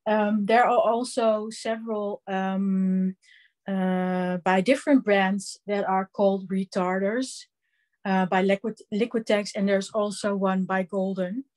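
A Dutch woman with a Dutch accent teaching an online craft class, talking her way through a hands-on demonstration with many pauses and ums. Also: medium pitch, level tone, darkish tone. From Netherlands. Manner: slow, halting, many ums, hands-on explaining. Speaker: craft instructor.

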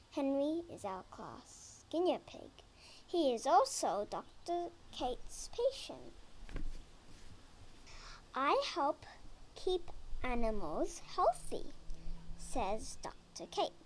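A young girl reads a children's picture book aloud at a very slow, careful pace. Her voice is high and lively in pitch, and she sometimes trips over a word and starts it again. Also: a little hiss or hum. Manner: slow careful reading with some stumbles. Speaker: reader.

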